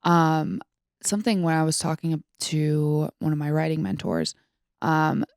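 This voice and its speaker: A woman's voice: sits low, a little slow, darkish tone, smooth, no room sound, studio quality. A woman in her twenties chatting casually about books as a podcast co-host, in an informal way peppered with "like". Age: 20s.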